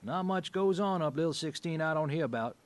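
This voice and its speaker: Backwoods moonshiner dad, played by a man: rough, wily, Appalachian, folksy grammar, high male register, very animated. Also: a little fast, close to an everyday voice. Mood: sly, folksy. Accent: American Appalachian. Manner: folksy, drawling, ungrammatical country speech. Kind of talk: radio drama acting.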